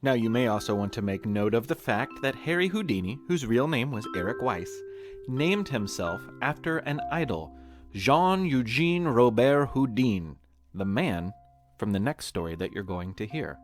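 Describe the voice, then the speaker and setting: A man's voice: mid-range voice, lively intonation, moderate pace, faint music behind. An American man reading a playful scripted quiz as host, talking straight to the listeners. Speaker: podcast host and quizmaster.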